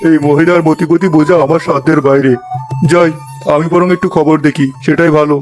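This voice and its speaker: A brisk, fairly high male voice acting a middle-aged father-in-law in a cartoon comedy. He is sensible and exasperated, with faint music behind him. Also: fairly even intonation, darkish tone, natural voice.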